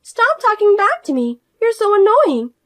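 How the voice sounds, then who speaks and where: A woman voicing a spoiled, arrogant sister-in-law who talks down to everyone in a shrill, scolding way. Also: very animated, moderate pace. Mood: indignant. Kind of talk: audio story voice acting.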